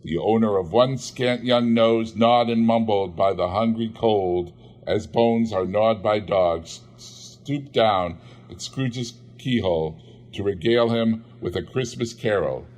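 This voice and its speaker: A man reading a classic novel aloud in measured storytelling narration. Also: lowish voice, darkish tone. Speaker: audiobook reader.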